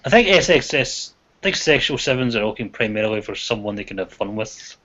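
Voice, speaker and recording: A man's voice: lowish voice, animated, brisk, fairly bright tone. A man hosting a casual chat who talks in a thoughtful, reflective way. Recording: dull lo-fi audio.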